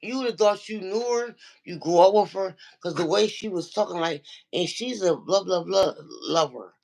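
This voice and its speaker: Nasal, gravelly man telling a story. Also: normal speed, poor audio. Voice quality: nasal, deep voice. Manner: animated storyteller, acts out quoted dialogue. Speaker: regular viewer calling into a livestream. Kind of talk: casual chat, retelling an online argument.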